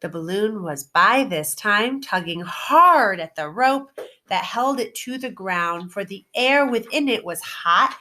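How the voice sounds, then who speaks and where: Animated woman reading a children's story. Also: mid-range voice. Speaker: elementary teacher reading aloud.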